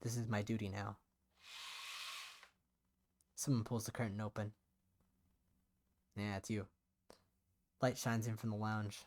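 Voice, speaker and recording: A man's voice: fairly low pitch, flat delivery, measured pace, pauses often, a little mellow. Man playing a somber, guilt-ridden young man. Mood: grieving, hollow. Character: guilt-ridden, despairing young man narrating his own story. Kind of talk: first-person visual novel narration.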